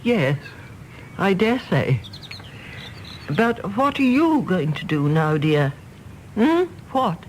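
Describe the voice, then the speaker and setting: An actress playing a gentle, concerned elderly English mother in a radio play, indulgent of her grown son. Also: on the low side, big pitch swings, slow pace, long pauses, a little hiss or hum. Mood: fond, indulgent. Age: older.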